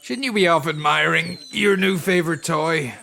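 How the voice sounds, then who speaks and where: A man's voice: on the high side, moderate pace, gravelly, bright, forceful tone, slight background noise. A man playing a sardonic, bantering sci-fi trooper, wry and sarcastic in scripted drama dialogue. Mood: teasing.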